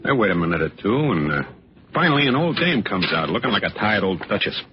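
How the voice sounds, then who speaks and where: A man's voice: fairly low pitch, very animated, fast, background noise. An actor playing a jittery, slangy American salesman telling his story in a 1940s radio noir drama. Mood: wry, sour. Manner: agitated, slangy, tough-talking. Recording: dull vintage radio recording.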